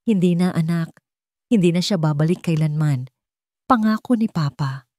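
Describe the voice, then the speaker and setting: Woman voicing a gentle, remorseful Filipino father, low-pitched. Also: moderate pace, no echo, clean studio sound, natural voice. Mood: tender, reassuring. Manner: gentle, earnest.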